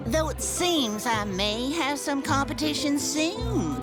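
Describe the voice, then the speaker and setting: High-pitched, genteel old lady baker. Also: animated, slow. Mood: sweetly folksy. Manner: genteel, fussy, theatrical. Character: sweet old lady baker hiding villainy, easily affronted. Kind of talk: acted audio drama. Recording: music behind the voice.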